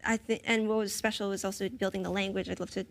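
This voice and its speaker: Gravelly-voiced American actress. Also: female voice, medium pitch, steady tone, quick pace. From United States. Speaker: actress guest. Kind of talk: roundtable conversation.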